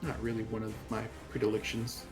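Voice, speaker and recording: A man's voice: fairly low pitch, completely flat delivery, brisk, music in the background, lightly acted. A man playing a composed android chaplain in a tabletop game, speaking in a formal, matter-of-fact way. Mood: detached.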